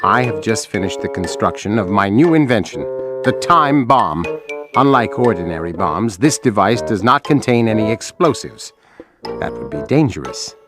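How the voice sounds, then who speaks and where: A man's voice: fairly low pitch, big pitch swings, moderate pace. A man voicing a pompous, scornful megalomaniac lab mouse in a cartoon game, speaking in a grand, precise manner. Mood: grand, scheming. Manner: grandiose, precise, weary disdain. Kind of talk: cartoon video game acting. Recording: loud music behind the voice.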